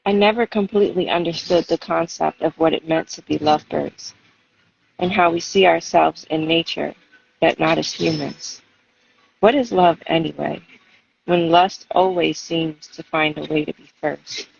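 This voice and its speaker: A woman with a husky, creaky voice speaking expressively and in a measured way, as a poet reading and chatting. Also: sits low, steady tone, a little hiss or hum. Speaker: poet and guest reader. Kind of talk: spoken-word poetry reading and casual chat. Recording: dull, lo-fi audio.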